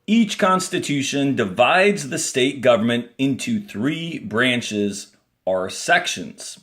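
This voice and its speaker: A male elementary school teacher with an American accent reads a textbook aloud in a clear, deliberate instructional way, adding brief asides. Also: mid-range voice, lively intonation, a bit bright.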